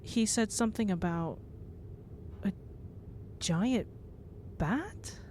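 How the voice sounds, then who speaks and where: An actress playing a caring, teasing and gently bossy young wife in an audio drama. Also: female voice, medium pitch, expressive, slow pace, a little hiss or hum. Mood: concerned. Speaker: voice actor.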